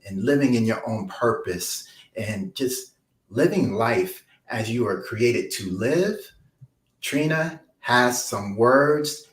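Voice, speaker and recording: A man hosting an interview, introducing his guest effusively with many pauses and repeated phrases. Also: medium pitch. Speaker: interview host. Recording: echoey room.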